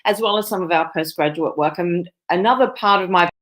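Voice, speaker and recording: A woman's voice: on the low side, brisk, a bit bright. A woman, a nursing professor giving a conference presentation over a video call, with many fillers and restarts. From Australia. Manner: fillers and self-corrections.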